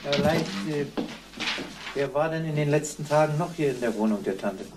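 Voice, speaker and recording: An actor playing a calm, methodical police inspector who questions witnesses matter-of-factly in a German crime radio drama. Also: male voice, on the high side, moderate pace, slight background noise, close to an everyday voice. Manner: probing, matter-of-fact questioning.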